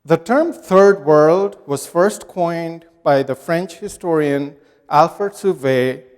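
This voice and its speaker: A man's voice: a little high, a little mellow, clear, resonant tone. A man from Nepal giving a prepared, reflective talk to a university audience in a lecture hall. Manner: measured, reflective storytelling. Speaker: guest speaker. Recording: reverberant hall.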